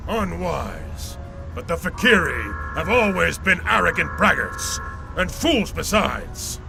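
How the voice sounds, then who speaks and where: Gravelly, proud alien warrior emperor. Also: male voice, a little high, very animated, takes it slowly, exaggerated voice. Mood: grim, vengeful. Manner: solemn, grandly declamatory. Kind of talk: video game voice acting. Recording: music behind the voice.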